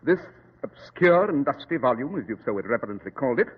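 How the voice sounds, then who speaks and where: An actor playing a brisk, self-assured male detective in an old-time radio drama, with precise, formal, condescending diction. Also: medium pitch, sing-song, slightly rough, lo-fi sound. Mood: dry, patient. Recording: echoey, band-limited old radio recording.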